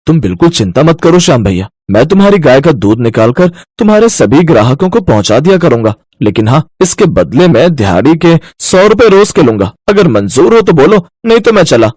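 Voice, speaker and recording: A man voicing a greedy, dishonest milk-delivery man with a glib, scheming manner in an animated moral story. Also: fairly high pitch, brisk, hardly any pauses, dry recording. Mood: brash, bargaining.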